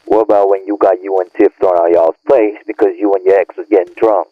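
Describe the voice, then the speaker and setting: Guy playing pissed off young dude on a crappy phone line, lowish voice, swearing and blaming, audio rp. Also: fairly even intonation, brisk. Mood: angry, blaming. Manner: profane, slangy, berating. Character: bitter, irritated young male friend, scolding and blaming. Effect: phone or radio filter. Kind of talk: audio roleplay acting, naturalistic argument. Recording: narrow tinny phone-like band.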